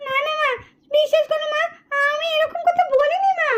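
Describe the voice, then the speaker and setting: A woman's voice: very high-pitched, steady tone, normal speed, pitched above the performer's own voice. A woman voicing a mother who scolds her daughter and dotes on her son. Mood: scolding. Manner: scolding, coaxing. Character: mother Pushpa, scolding but doting.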